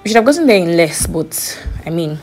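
A woman, vlogging in a chatty, wry way, her voice worn hoarse. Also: lowish voice, big pitch swings, brisk, a little hiss or hum. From Nigeria. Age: 20s. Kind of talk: casual vlog, voice-over and selfie clips. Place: outdoor camp grounds and inside a car. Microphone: phone camera at arm's length. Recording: loud crowd and music behind.

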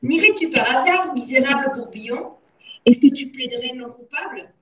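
A woman's voice: a little high, expressive, normal speed, natural voice. A woman reading a story aloud as a tyrannical headmistress, barking orders and insults in a bullying manner. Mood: furious, accusing. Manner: barks commands and theatrical insults. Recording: roomy echo, distant from mic.

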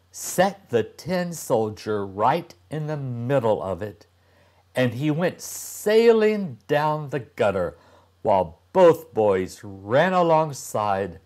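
A man in his sixties reading a storybook aloud to young children, deliberately and with dramatic pauses. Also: medium pitch, darkish tone. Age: older, about 60s. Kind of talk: expressive storybook narration.

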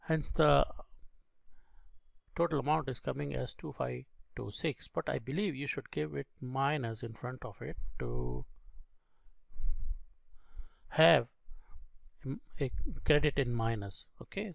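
A man giving a step-by-step software tutorial as an instructor, speaking in a halting, explanatory way into a close microphone. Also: mid-range voice, creaky, no echo. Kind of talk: screen-recorded software tutorial.

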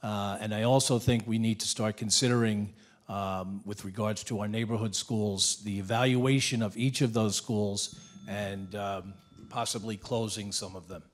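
Measured low-voiced man, hesitant. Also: fairly even intonation. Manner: measured, with many uhs and throat clearing. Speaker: mayoral candidate. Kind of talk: candidate forum answer. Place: library meeting room. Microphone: handheld microphone.

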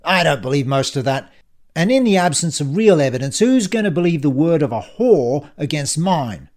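A man's voice: on the high side, very animated, moderate pace. Man acting a sneering, menacing audiobook bully. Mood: threatening. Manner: sneering, hissed threats, loud contemptuous bursts. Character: menacing, sneering, foul-mouthed male bully, contemptuous and vindictive. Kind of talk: audiobook character dialogue.

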